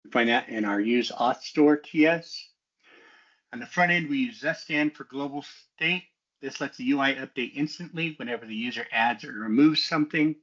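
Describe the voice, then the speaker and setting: A man's voice: medium pitch, normal speed, fairly bright tone, dull audio. A man giving a methodical, explanatory technical walkthrough as a student developer, in a semi-scripted presentation style.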